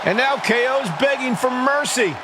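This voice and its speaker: A man's voice: very high voice, normal speed, heavy background noise. A man playing a dramatic, emphatic wrestling commentator in a video game. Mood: urgent. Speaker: sports commentator. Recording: loud music behind.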